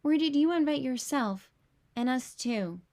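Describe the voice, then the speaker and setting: Sensible storybook girl, read by a woman, high voice, frank and gently reproving. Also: lively intonation, unhurried.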